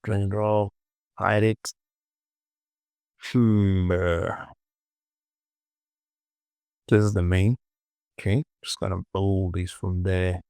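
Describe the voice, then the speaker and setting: Male tutorial presenter, unhurried and slow, dry close sound, thinking aloud in short clipped phrases. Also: deep voice, level tone, darkish tone, clear, resonant tone, no echo. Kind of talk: casual screen-recorded software tutorial, thinking aloud.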